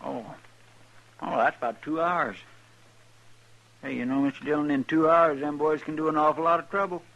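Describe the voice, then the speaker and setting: Eager fretful deputy, high twangy voice, dull old radio sound. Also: male voice, steady tone, moderate pace, fairly bright tone. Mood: anxious. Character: eager simple male deputy, earnest. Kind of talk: 1950s radio drama acting.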